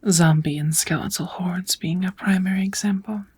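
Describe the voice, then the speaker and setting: A woman voicing a kind, knowledgeable witch who gently teaches magic in a measured, whispered ASMR roleplay. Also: fairly low pitch, fairly even intonation. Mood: gently instructive. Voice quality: soft, breathy whispering.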